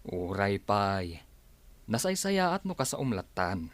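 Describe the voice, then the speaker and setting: Male radio drama friend role: chiding, advising, on the low side, very dry sound. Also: sing-song, takes it slowly, no room sound.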